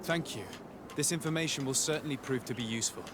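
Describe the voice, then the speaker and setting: An actor playing a polite, composed noble envoy in a video game, formal, courteous and inquiring. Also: male voice, mid-range voice, quick pace, background noise, close to an everyday voice. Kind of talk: video game cutscene acting.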